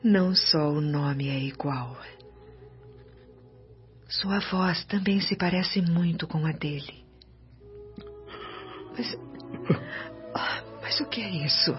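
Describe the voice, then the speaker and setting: A Brazilian actress playing a humble, suffering woman spirit in a radio drama, with a breathy, often whispering voice and tender delivery. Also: lowish voice, slow pace, pauses often. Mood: grateful, tender. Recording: dull lo-fi radio drama recording. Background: soft music.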